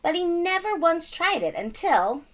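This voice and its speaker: A woman's voice: extremely high pitch, big pitch swings, a little slow, a bit bright. A woman reading a children's story aloud in a dramatic, emphatic style, playful with sound words. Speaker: storybook reader. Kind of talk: animated children's read-aloud narration.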